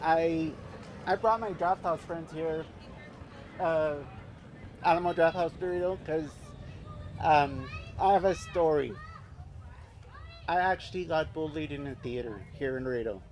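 A man's voice: a little high, a little slow, long pauses, slight background noise. Earnest man giving testimony outdoors. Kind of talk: personal testimony speech. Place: outdoor park event. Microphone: handheld mic on stand. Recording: faint outdoor ambience.

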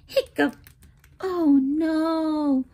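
A woman's voice: sits high, drawn-out words, warm tone, voice pushed up, exaggerated voice. A woman voicing a puzzled young storybook kitten, exclamatory and pleading. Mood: startled. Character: young cartoon kitten, puzzled, plagued by hiccups.

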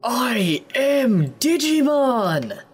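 Earnest creature hero played by a male reader, high and declamatory, for an overblown story reading. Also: big pitch swings, drawn-out words, breathy edge, faint music behind, heavily acted. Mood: urgent, defiant. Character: heroic, earnest Digimon creature from fan fiction.

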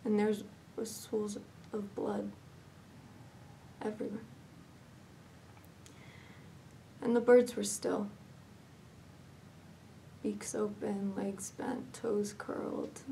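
Woman playing haunted young female character, fragile, hesitant dramatic monologue, medium pitch, slight background rumble. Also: little pitch movement, gravelly, mellow, recorded from a distance. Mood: numb, grieving. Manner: halting, hesitant, with pauses and repetitions.

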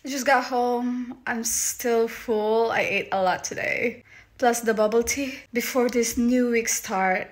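A young Filipino woman talks to her phone at arm's length, relaxed and chatty. Her voice sits a little high, and she slips easily into Tagalog phrases. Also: gravelly. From Philippines. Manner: chatty, soft and relaxed, drops into Tagalog words. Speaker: vlogger. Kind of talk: casual vlog chat. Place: bedroom and bathroom at home. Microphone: phone camera at arm's length.

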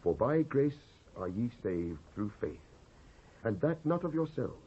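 A man's voice: medium pitch, big pitch swings, measured pace, husky. A British man giving a solemn, declamatory dramatised reading from the Bible. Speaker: Bible reader. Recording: muffled old cassette transfer.

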